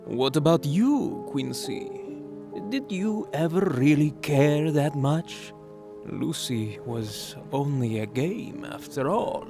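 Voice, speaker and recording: Guy doing a slow sneering vampire lord, kinda high smooth voice, music under it. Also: expressive, heavily acted. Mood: mocking. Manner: silky, taunting, slow and theatrical. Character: ageless, mocking male vampire lord. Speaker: villain. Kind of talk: audio drama acting. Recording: music score behind the voice.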